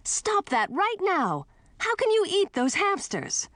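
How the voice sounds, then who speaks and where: A woman's voice: on the high side, animated, moderate pace, dry recording, clean studio sound, pitched above the performer's own voice, close to an everyday voice. A woman voicing a bold, earnest young princess with magic powers, insistent and forthright, in a storybook reading. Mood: indignant.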